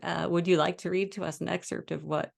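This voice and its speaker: A woman's voice: fairly low pitch, steady tone, a little fast, creaky. A woman hosting a podcast interview, putting requests to her guest. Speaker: host.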